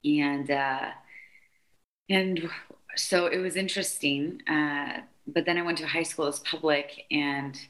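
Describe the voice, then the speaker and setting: A woman in her forties with a strikingly deep voice for a woman, speaking as a guest author. Born in Argentina and raised in California, she talks in an open, chatty way, often pausing with ums. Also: fairly even intonation, a bit bright. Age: 40s. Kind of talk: casual interview conversation.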